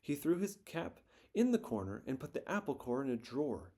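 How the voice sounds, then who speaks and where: A man reading a children's picture book aloud in a gentle, expressive storytelling manner. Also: medium pitch, fairly quick.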